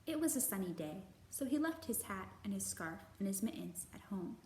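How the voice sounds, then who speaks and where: A woman hosting a children's storytime, reading and speaking to young listeners with slow, deliberate, expressive phrasing. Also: medium pitch. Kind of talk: picture book read-aloud for young children. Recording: roomy echo, faint background noise.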